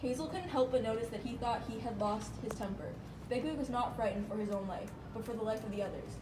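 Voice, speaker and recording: Female puppet show narrator, scripted read with small stumbles, far-off mic and clear background noise. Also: fairly high pitch, quick pace. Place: room, live amateur puppet show. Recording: echoey, noisy room, far from mic.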